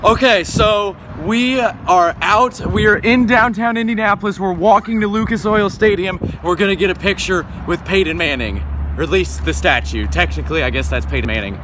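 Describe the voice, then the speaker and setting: Excitable young man vlogging on phone. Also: sits high, moderate pace, background noise. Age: young adult. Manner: chatty, excitable, says "literally" a lot. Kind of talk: casual travel vlog. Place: car, street and home, phone held. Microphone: phone at arm's length.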